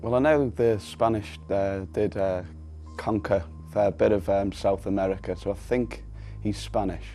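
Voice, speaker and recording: A man's voice: lowish voice, fairly even intonation, moderate pace, warm tone, faint music behind. A man in his thirties, a quiz contestant thinking aloud hesitantly through his answer. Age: 30s.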